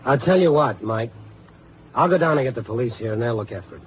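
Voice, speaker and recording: An American man acts a tough, steady reporter in old-time radio drama. His delivery is dry and clipped, and the sound is narrow and boxy like an old broadcast. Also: mid-range voice, fast, background noise, natural voice. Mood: calm, reassuring. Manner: clipped, dry, hard-boiled. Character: tough, steady newspaper reporter working the night beat. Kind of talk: 1950s radio drama narration and dialogue.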